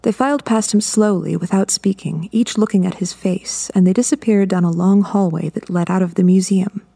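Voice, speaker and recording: Husky, mellow woman narrating. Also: mid-range voice, steady tone, moderate pace, no room sound. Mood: grave, measured. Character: composed woman reading out an eerie account. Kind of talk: scripted narration in audio drama.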